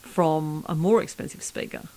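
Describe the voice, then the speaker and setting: A woman with a slightly low voice, acting as interviewer in a relaxed podcast chat. She probes and restates points in a conversational way. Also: moderate pace.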